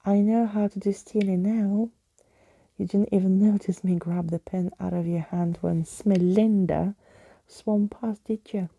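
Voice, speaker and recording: A woman's voice: mid-range voice, steady tone, measured pace, creaky, dark tone, no echo. A woman voicing a bold, defiant young girl thief with a curt, threatening manner in a storybook. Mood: smug.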